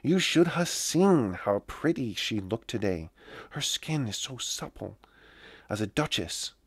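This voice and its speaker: A man's voice: medium pitch, very animated, a little slow, fairly bright tone, slightly rough, no room sound, pitched above the performer's own voice, exaggerated voice. Man playing an eager, scheming country wife. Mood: eager, confident. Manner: rustic dialect, eager.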